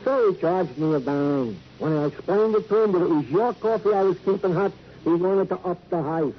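An actor playing a fussy, pompous American police sergeant sidekick, speaking with comic formality in 1950s radio style. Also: male voice, high voice, lively intonation, normal speed. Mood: smug, chummy. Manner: pompous, mock-formal, officious. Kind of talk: 1950s radio drama acting. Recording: dull, noisy vintage radio recording.

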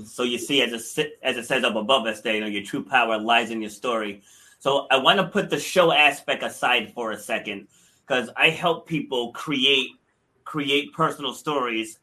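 A man's voice: medium pitch, sharp tone. A man hosting an interview, talking conversationally in a supportive, earnest manner, like a coach. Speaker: interview show host, personal story coach.

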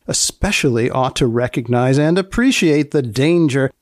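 A man hosting a podcast with wry, mock-serious commentary, speaking in a deadpan, deliberately wordy way. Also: medium pitch, no echo, clean studio sound. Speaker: podcast host. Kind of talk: wry scripted commentary with banter.

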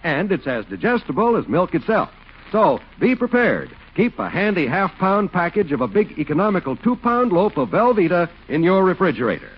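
A man's voice: sits high, sing-song, normal speed, breathy edge. A man announcing in polished 1940s radio style, reading scripted copy with emphatic salesmanship. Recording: muffled vintage radio recording. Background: music.

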